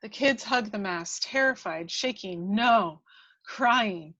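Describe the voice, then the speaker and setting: A woman's voice: medium pitch, lively intonation, takes it slowly, a bit bright, dry recording. A woman reading out the action of a screenplay as a dramatic narrator. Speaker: script narrator. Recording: dull lo-fi, dry microphone.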